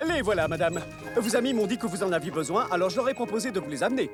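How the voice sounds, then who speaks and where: Obliging, fast-talking gallant pony. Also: male voice, sits high, expressive, over loud music. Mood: gallant, helpful. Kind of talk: French animated cartoon dub acting.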